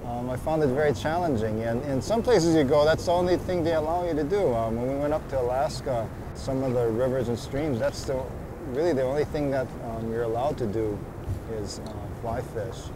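A middle-aged man, a fly fisherman, talking in an unhurried, thoughtful way with restarts, on a lapel mic outdoors in shallow water. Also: medium pitch. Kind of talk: casual talk about fishing. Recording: outdoor background noise.

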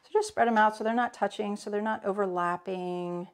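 A woman's voice: mid-range voice, fairly even intonation, a little fast. A woman in her forties teaching a live cooking demo from her home kitchen, chatting and greeting viewers by name as she works. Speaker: cooking instructor.

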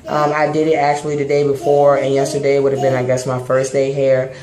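Hoarse low-voiced woman in an echoey bathroom. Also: a little fast, crowd noise. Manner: relaxed, hesitant, many ums while working on her hair. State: hoarse from a cold. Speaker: hair vlogger. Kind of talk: casual hair tutorial talk. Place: small bathroom, indoors. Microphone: phone camera close up. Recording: echoey bathroom with background noise.

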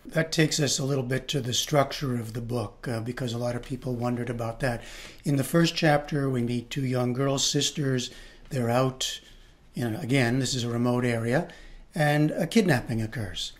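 A man in his sixties, a news host interviewing an author over a video call from home and reading viewer questions in a measured way. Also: mid-range voice. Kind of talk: broadcast interview. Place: home room with bookshelves.

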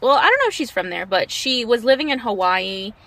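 A woman's voice: on the high side, big pitch swings, fairly quick, a bit bright. Chatty young woman vlogging from a car. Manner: chatty storyteller, lots of "like" and "basically". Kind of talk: casual chat while riding in a car. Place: inside a convertible car outdoors. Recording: faint car and road noise.

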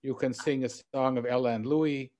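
A man's voice: medium pitch, fairly even intonation, moderate pace, darkish tone. A middle-aged man hosting a show over a video call from a room with bookshelves, teasing and encouraging his guest. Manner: teasing and encouraging, gestures while speaking. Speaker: host. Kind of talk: casual video call interview. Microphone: video call webcam.

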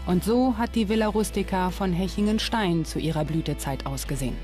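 A woman's voice: fairly low pitch, brisk, a little mellow. A woman reading scripted documentary narration as the narrator. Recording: music behind the voice. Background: background music.

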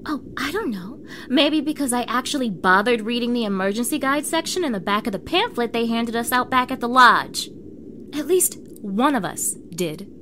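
A fairly high-pitched young mean girl acted by a woman in an audio drama. She is bossy and snippy, talking to the listener with exasperated sarcasm. Clearly audible ambient noise runs behind her voice. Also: lively intonation, moderate pace, fairly bright tone. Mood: annoyed, snippy. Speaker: audio roleplay performer.

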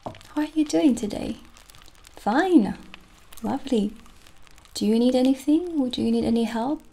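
Soft, slow, creaky-voiced witch shopkeeper played by a woman. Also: high voice, heavily acted. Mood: welcoming. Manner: soft, unhurried, soothing. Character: gentle, friendly witch shopkeeper selling potions and crystals. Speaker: ASMR performer. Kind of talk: ASMR roleplay with spoken voice. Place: small dim candlelit room, indoors. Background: soft music.

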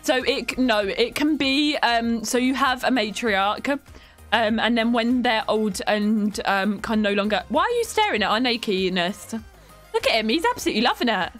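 A woman's voice: on the high side, expressive, moderate pace, a bit bright, soft background music. Stuffy-nosed woman streamer with a cold. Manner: chatty, affectionate toward chat, giggly. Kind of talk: live game stream chat. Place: home streaming room.

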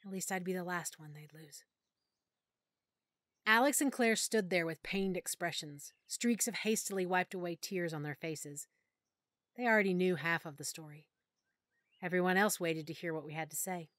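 A woman narrating a first-person audiobook in a soft, emotional storytelling manner. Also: lowish voice, normal speed, dry recording, studio quality.

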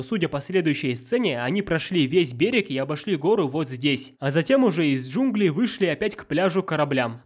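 A man with a somewhat high voice delivers scripted nitpicking commentary. He sounds pedantic and sarcastic, picking at details as a narrator. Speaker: YouTube film critic, narrator.

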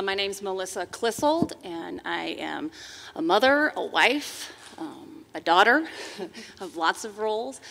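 A woman speaks loudly and emphatically into a handheld microphone on a forum stage. Her voice is bright and pointed. A long hall reverb trails each phrase. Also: lowish voice. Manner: loud, emphatic, laughs while talking. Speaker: school board candidate, cheer coach. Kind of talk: candidate forum statement. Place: large hall, seated on stage panel.

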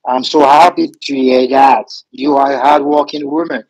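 A man on a voice call, flattering his chat partner and repeating his own phrases. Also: fairly high pitch, normal speed, vocal fry, dull audio. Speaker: romance scammer on a voice call.

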